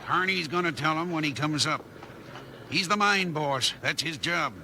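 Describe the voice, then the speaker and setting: An actor playing a brisk, dismissive mine owner with a shady streak in a 1950s radio drama, in a gravelly voice. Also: male voice, a little high, lightly acted. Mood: gloomy, curt. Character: curt, evasive male mine owner, businesslike villain. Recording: noisy vintage radio drama recording.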